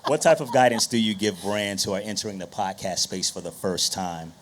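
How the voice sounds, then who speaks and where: A man's voice: on the low side, brisk, a little hiss or hum. A man moderating a panel discussion, putting questions to the guests and speaking as an industry executive.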